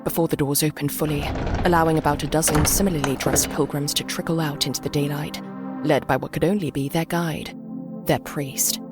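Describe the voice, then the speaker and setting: A woman's voice: deep voice, steady tone, fairly quick. A woman reading a dramatic story as an audiobook narrator. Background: background music.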